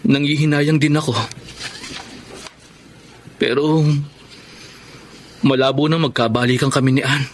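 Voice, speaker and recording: An actor playing a resigned, heartbroken young man in a radio drama, speaking slowly and earnestly. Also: medium pitch, fairly even intonation, natural voice. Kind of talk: Tagalog radio drama acting. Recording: faint background noise.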